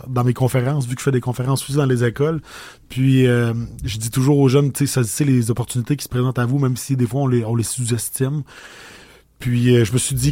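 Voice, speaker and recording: Male interview guest, Québécois accent, casual and halting, mid-pitched voice, dry close recording. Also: mellow, no room sound. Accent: Québécois French. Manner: hesitant, many pauses and restarts.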